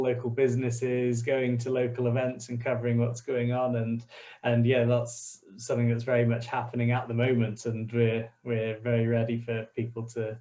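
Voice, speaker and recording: Guy on a video call, pretty flat even delivery, keeps restarting his sentences, friendly. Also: medium pitch. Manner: friendly, hesitant with restarts, laughs lightly. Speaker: community radio station representative. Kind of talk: online interview, casual explaining.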